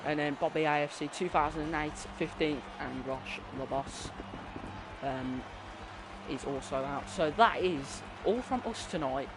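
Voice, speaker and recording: A man commentating a sim racing league with excitement, his voice strained and fading. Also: measured pace, noisy background. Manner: excitable, voice climbing high at big moments. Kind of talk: excited live race commentary. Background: music.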